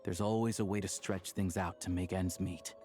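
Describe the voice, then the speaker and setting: A wry, weary townsman in a video game, voiced by a man. His voice is fairly low and his delivery dry and easygoing, over faint music. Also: fairly even intonation, moderate pace, a little mellow, natural voice. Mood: dry, resigned. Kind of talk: video game character dialogue.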